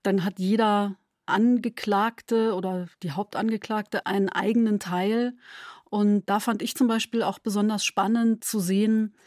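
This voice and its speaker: A woman giving a measured, explanatory interview statement as a dramaturg. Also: medium pitch, mellow, no echo, clean studio sound.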